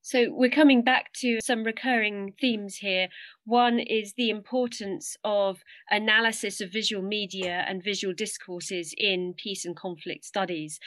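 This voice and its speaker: A woman interviewer sums up what she has heard and then sets up her next question in a careful, articulate way. Her voice sits at an ordinary middle pitch for a woman. The recording is dry and close. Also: a bit bright, no echo. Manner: articulate, summarizing, carefully phrased. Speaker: podcast interviewer.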